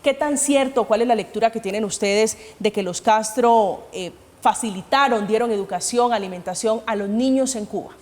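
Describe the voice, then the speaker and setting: A woman's voice: fairly high pitch, moderate pace, hardly any pauses, a little mellow. A woman formally moderating a televised debate as its host. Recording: long hall-like reverb.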